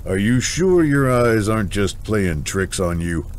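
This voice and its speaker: Man playing flippant rogue, low, animated. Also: a little slow, a little hiss or hum. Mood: sardonic. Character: roguish, flippant male adventurer. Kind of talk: fantasy audio drama acting.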